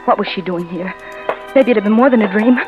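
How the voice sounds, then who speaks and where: A woman's voice: medium pitch, a little fast, muffled recording, lightly acted. A woman in 1940s radio drama style, playing a frightened young wife who narrates her own descent into murder in breathless, stammering words. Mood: tense. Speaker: narrator and lead character. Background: music.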